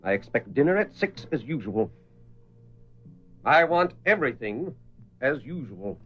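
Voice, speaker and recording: A man's voice: mid-range voice, very animated, measured pace, soft background music. An actor playing a stern, domineering middle-aged father with a clipped, commanding manner in a radio drama. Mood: stern, irritated. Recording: dull lo-fi radio recording.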